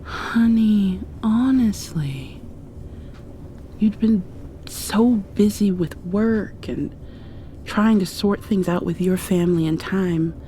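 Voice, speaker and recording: A woman doing an ASMR roleplay, drifting between whispers and soft speech in an affectionate, playfully scolding way. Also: mid-range voice, slow, a little hiss or hum.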